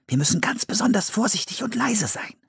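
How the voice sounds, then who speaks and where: A man's voice: sits high, very animated, fairly quick, vocal fry, a bit bright, breathy edge, no room sound, clean studio sound, voice pushed up, exaggerated voice. A man doing a careful bookish boy. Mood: earnest. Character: cautious bookish boy with glasses. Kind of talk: audiobook character voice.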